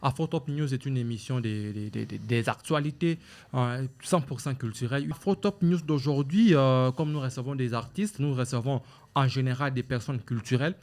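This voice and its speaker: A man's voice: mid-range voice, normal speed, darkish tone. A man with a Congolese French accent hosting a talk show, formal and repetitive, with many fillers and restarts. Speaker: show host.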